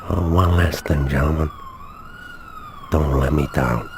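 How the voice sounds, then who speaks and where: Deep-voiced menacing crime boss. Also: male voice, fairly even intonation, background noise. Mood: smooth menace. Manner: deliberate, threatening. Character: menacing male crime boss, cold and controlling. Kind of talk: dramatic video game cutscene acting.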